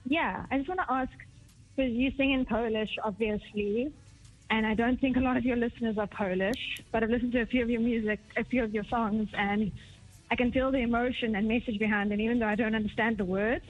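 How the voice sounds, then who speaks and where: A woman calling in over a thin, tinny phone line, her voice sitting fairly high. She asks her question earnestly, thinking aloud and restarting her phrases as she goes. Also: steady tone, brisk. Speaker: caller. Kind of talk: caller asking a question.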